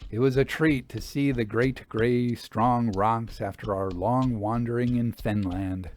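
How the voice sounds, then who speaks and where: A man reading a literary travel tale aloud in a theatrical, expressive way with deliberate phrasing. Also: mid-range voice. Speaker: audiobook reader, storyteller. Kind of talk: dramatic literary narration of a Victorian travel memoir.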